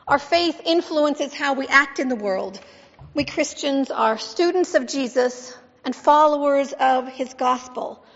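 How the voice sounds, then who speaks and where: A woman pastor reading scripture and giving a sermon, measured and emphatic, asking the congregation questions. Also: sits high, expressive. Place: church sanctuary. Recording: dull sound with long church reverb.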